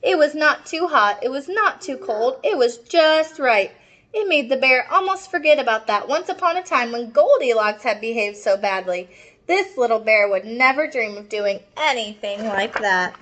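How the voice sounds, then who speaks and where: A woman's voice: a little high, expressive, moderate pace, fairly bright tone. A woman reading a picture book aloud to children expressively, with explanatory asides to her listeners. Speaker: storybook reader.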